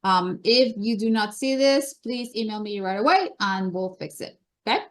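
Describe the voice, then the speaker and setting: A woman's voice: mid-range voice, expressive, normal speed. A woman with a Colombian accent hosting a meeting, presenting slides with chatty enthusiasm. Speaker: meeting host. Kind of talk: upbeat meeting announcements.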